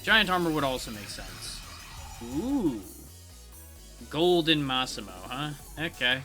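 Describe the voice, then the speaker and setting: Lively man streaming a game, music behind. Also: a little high, slow pace. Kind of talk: live game commentary, casual. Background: video game music.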